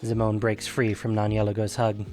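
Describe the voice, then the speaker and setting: A man's voice: fairly low pitch, monotone, moderate pace, darkish tone, soft background music. A man hosting and narrating a scripted fantasy audio story. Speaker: narrator and podcast host.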